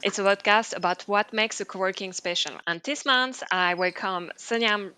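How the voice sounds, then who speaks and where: A woman's voice: medium pitch, moderate pace, bright, forceful tone, clean tone, dry recording. A woman hosting a podcast interview in casual chat, a French speaker talking in English, with frequent fillers and restarts. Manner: chatty, many fillers and restarts. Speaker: podcast host.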